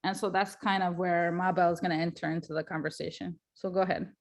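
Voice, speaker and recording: A woman's voice: on the low side, level tone, fast talker. A woman moderating a panel, introducing the next speaker in a casual way with many fillers.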